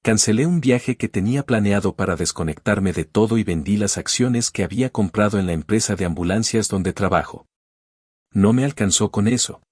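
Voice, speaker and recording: Husky low-voiced man narrating. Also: moderate pace, dry recording, studio quality. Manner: steady storytelling read. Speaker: story narrator. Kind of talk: scripted first-person narration.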